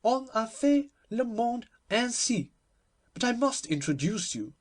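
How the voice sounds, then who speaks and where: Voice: mid-range voice, very animated, measured pace, pitched above the performer's own voice. A performer voicing a gushing, witty, imperious society hostess in a theatrical, emphatic manner for a storybook reading. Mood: imperious, playful.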